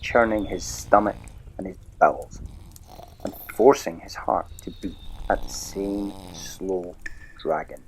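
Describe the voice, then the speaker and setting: A man narrating a children's storybook audio play in a measured, expressive way. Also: sits low, creaky, a little hiss or hum, poor audio.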